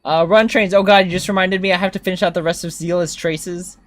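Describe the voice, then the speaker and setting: A man's voice: sits high, steady tone, quick pace, a bit bright, no echo. A young man giving casual livestream game commentary, thinking aloud as he plays and chatting with viewers. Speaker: game streamer.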